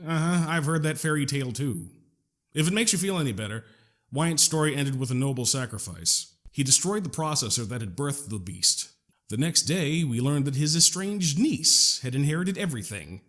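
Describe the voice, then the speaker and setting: Man acting a high-voiced, sardonic gentleman scientist. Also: animated, moderate pace. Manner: wry, wordy, formal and unruffled. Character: sardonic, well-spoken gentleman scientist and saboteur. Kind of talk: scripted audio drama acting.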